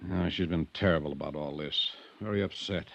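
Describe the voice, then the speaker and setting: A man's voice: extremely low pitch, fairly quick, vocal fry, very noisy. An actor playing a middle-aged American ranch owner, a curt, irritable, combative father, in a 1950s radio drama. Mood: subdued. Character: gruff, defensive, hot-tempered ranch owner and stern father. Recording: dull, dry vintage radio recording.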